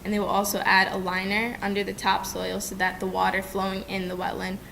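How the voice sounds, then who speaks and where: A young woman gives a class presentation from a podium microphone, explaining step by step with the odd restart. Her delivery stays fairly even in pitch. A faint rumble sits under the lecture-room sound. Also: fairly quick, gravelly, poor audio. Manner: steady, explanatory, some restarts and ums. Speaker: student presenter. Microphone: desk microphone at a podium.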